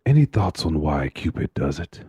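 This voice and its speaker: An actor playing a calm, philosophizing male serial killer of about forty in a suspense audio drama, gravelly and deliberate. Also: dry recording, clean studio sound, exaggerated voice. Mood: cold, musing. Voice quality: very deep, gravelly husky. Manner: slow, deliberate, pompous phrasing.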